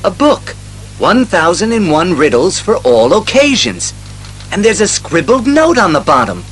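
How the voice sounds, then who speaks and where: Young hero's sidekick, high voice, animated, earnest, excitable, audio drama. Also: male voice, unhurried, soft background music, exaggerated voice. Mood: eager concern. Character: eager, concerned young male hero's sidekick.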